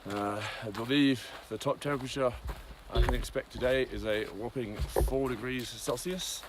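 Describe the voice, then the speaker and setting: A man's voice: mid-range voice, fairly even intonation, moderate pace, noisy background. A man giving a casual, rambling daily update on a hiking trail, with many ums and side tangents. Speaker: long-distance hiker. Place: outdoors on a cold trail.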